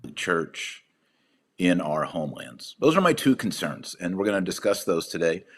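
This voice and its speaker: A man hosting a podcast, hoarse from a chest cold, talking earnestly and leaning deliberately on key words. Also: sits low, very animated. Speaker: podcast host. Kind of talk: podcast commentary.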